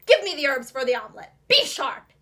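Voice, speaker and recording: Woman putting on a sly gentleman fox. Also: sits high, very animated, a little fast. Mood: harsh, impatient. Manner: suave and formal, turning harsh and commanding. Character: sly, well-dressed male fox gentleman, falsely polite.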